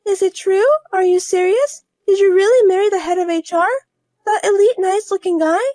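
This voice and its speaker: A woman putting on a shrill, pleading schemer. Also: expressive, normal speed, dark tone, dry recording, studio quality. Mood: shocked. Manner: whiny and pleading. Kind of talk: storybook voice acting.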